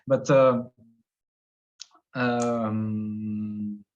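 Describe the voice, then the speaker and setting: A man's voice: on the low side, level tone, very slow, darkish tone. A man from Switzerland, a travel video creator, talking through his ideas in a casual interview, thoughtful and hesitant, with many fillers and restarts. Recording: dull lo-fi podcast audio.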